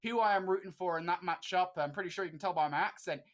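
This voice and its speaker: Man, esports caster, chatty, filler-heavy, slightly higher voice. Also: fast talker, fairly bright tone. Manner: chatty, upbeat, many "uh" fillers. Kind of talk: esports commentary.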